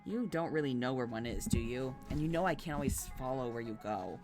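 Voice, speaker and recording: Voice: medium pitch, expressive, fairly quick, close to an everyday voice. A streamer voicing a curious but cautious young girl in a storybook reading. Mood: doubtful. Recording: music playing behind the voice.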